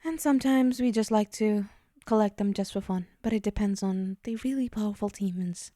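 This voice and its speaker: A woman playing a powerful, possessive female demon in an ASMR roleplay, teasing and condescending in a softly menacing murmur that slips toward whispers. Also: medium pitch, a little fast, dry recording, studio quality, close to an everyday voice. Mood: haughty, condescending.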